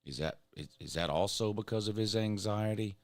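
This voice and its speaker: A hoarse, raspy man ranting bluntly as a show host. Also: fairly low pitch, moderate pace, dry recording. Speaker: show host reading chat. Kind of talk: casual sports rant.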